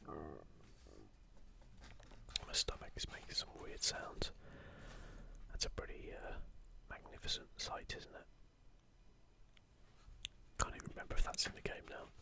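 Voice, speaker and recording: A man speaking in a breathy, unvoiced whisper, giving soft ASMR commentary as he hosts a video. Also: a little slow, long pauses.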